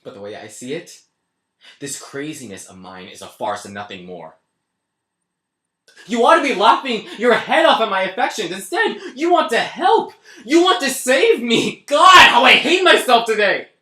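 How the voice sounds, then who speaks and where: A man's voice: fairly low pitch, moderate pace, close to an everyday voice. An actor playing a weary, guilt-ridden married man who talks in a brooding, bitter way and breaks into anguished outbursts. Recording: echoey room.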